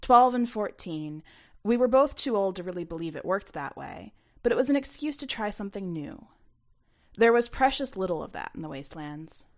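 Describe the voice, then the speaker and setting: A woman reading her own short story aloud as expressive first-person narration. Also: medium pitch, moderate pace.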